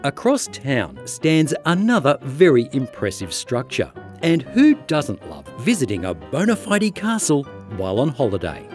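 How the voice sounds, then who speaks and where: A man's voice: medium pitch, wildly swooping pitch, a little slow, a little mellow, faint music behind. Upbeat man narrating travel. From Australia. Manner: upbeat, playful wordplay. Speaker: travel show host.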